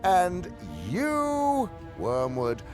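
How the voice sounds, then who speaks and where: An actor playing a pompous, contemptuous demon uncle who mentors with menace in a theatrical radio drama. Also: male voice, high-pitched, huge pitch swings, faint music behind, heavily acted. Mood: furious. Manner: pompous, deliberate, sweeping from hushed to thundering.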